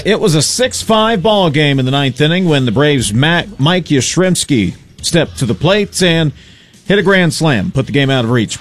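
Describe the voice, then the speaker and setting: Dry, wry radio sports guy with an animated, lively voice. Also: mid-range voice. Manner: dry, wry, quick to joke. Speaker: sports reporter and columnist. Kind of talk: radio sports update and banter.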